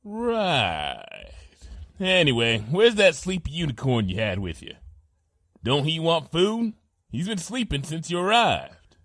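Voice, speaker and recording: Man as hearty male pony cook, highish voice, hugely animated, folksy drawl, blustery, audio drama. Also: slow, dry recording, clean studio sound, full character voice. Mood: curious, relaxed. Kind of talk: audio drama character acting.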